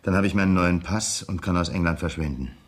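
A radio-drama blackmailer, a cold ex-convict with a low, husky voice. He presses his threats in a measured, insistent way, menacing and sure of his hold.

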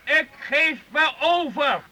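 A man's voice: extremely high pitch, expressive, takes it slowly, background noise, exaggerated voice. A man playing an earnest, determined young medieval boy in an old radio play. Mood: determined, pleading.

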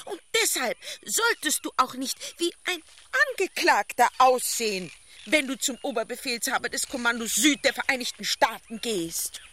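An actress playing a strict, bossy middle-aged wife in a German radio play, giving emphatic, commanding instructions. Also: female voice, a little high, expressive, normal speed, pushed and bright. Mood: stern, urging.

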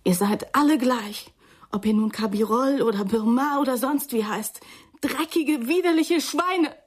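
An actress playing a defensive, prim young woman in a radio crime drama, speaking in a breathy, dramatic, indignant manner. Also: a little high, a little slow. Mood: disgusted. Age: young adult.

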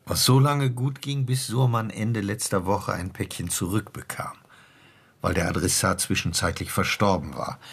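A man playing a seasoned, matter-of-fact police inspector in a radio crime drama, with a husky, gravelly voice. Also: lowish voice, fairly even intonation, moderate pace, a bit bright, heavily acted. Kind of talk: naturalistic German radio crime drama dialogue.

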